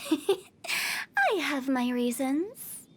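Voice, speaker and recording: A woman's voice: high-pitched, very animated, very slow, noisy background, heavily acted. A woman voicing a playful, cheeky young female funeral parlor director in a video game, with a teasing, breezy, theatrical delivery. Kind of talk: video game character acting.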